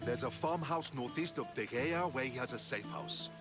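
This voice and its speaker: An actor playing a measured, principled Spartan soldier in a video game, reasoning carefully. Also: male voice, mid-range voice, expressive, over music, close to an everyday voice. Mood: earnest. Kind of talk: dramatic video game acting.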